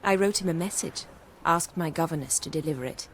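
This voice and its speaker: A woman playing a wealthy aging countess in a video game, wistful and genteel, with formal, measured speech. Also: sits low, background noise, natural voice. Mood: wistful, confessional. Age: older.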